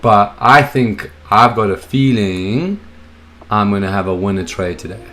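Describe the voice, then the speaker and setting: A young man with a hoarse, froggy voice from a cold, hosting a casual live stream from his home office, hyped up and greeting viewers in the chat by name. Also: on the low side, lively intonation, measured pace, a bit bright. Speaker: streaming host.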